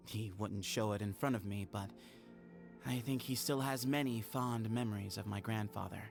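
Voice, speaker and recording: An actor voicing a calm, earnest young male heir of a desert temple in scripted video game dialogue. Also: on the low side, normal speed, vocal fry, over music. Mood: wistful, wry.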